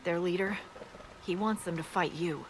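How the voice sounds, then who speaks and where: A woman's voice: on the low side, natural voice. An actress voicing a blunt, practical woman scout in a video game. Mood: briefing. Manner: blunt, brisk. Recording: game audio with ambient noise.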